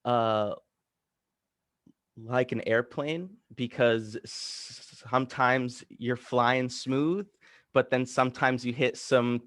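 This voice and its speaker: Male participant, stutters, slow and careful, dry close sound. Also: medium pitch, steady tone, fairly bright tone, no room sound. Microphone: video call.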